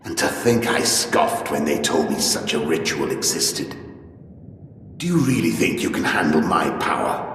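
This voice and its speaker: An actor playing a mad, paranoid old Sith ghost in a video game, raving and bitter, swinging between mutters and outbursts. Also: male voice, very deep voice, expressive, a little slow, fairly bright tone, full character voice. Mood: defiant. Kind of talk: theatrical video game acting. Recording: cavernous long reverb, clear noise.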